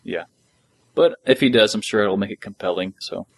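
A man's voice: on the low side, expressive, moderate pace, gravelly, no room sound. A man hosting a podcast, talking casually as a fan discussing a story. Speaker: podcast host.

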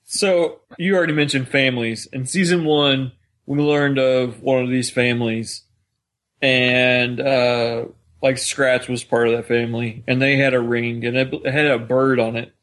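A man with a medium-pitched voice talks as a podcast co-host. His delivery is casual and conversational, as in an informal discussion of a drama series. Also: flat delivery, measured pace, a bit bright.

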